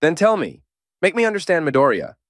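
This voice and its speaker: A man's voice: fairly high pitch, sing-song, brisk, dry recording, clean studio sound, pitched above the performer's own voice. A man voicing a stubborn, protective teenage boy in a fanfiction audiobook, pressing insistently with questions. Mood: demanding. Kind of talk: podfic voice acting.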